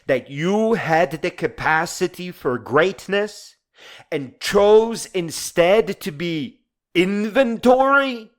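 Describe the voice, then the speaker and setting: A man's voice: high voice, lively intonation, slow pace. A man delivering a dramatized speech in a grandiose, solemn, theatrical manner. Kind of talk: dramatized oratory and scripted monologue. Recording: music behind the voice.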